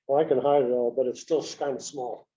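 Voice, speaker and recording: A man's voice: mid-range voice, fairly even intonation, quick pace, warm tone, breathy edge. A man chatting casually on a video call, as an attendee helping out a presenter. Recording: dull, lo-fi video call audio.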